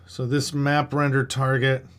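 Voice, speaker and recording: A man's voice: mid-range voice, completely flat delivery, unhurried, fairly bright tone, smooth. A man giving casual livestream commentary as a game developer, thinking aloud as they work and answering chat. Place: indoors at a computer desk.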